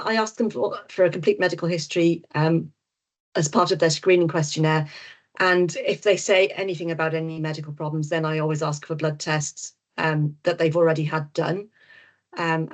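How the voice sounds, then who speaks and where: Welsh lady doctor, lowish voice, blunt and reassuring, lots of ums. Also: fairly even intonation, moderate pace. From Wales. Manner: frank, reassuring, many ums and repeats. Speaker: GP and nutritionist guest speaker. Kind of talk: webinar Q&A answers.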